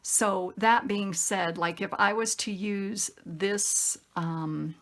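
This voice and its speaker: A woman's voice: medium pitch, steady tone, slow pace, fairly bright tone. A woman hosting a craft tutorial in a casual, chatty style, upbeat and effusive, thinking aloud as she works.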